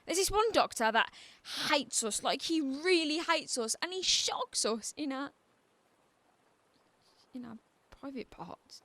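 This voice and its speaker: A woman's voice: high-pitched, expressive, slow, sharp tone, no room sound, exaggerated voice. A woman playing a defiant, bitter asylum patient in a radio drama, with a probing, wry edge to her talk. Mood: distressed, urgent.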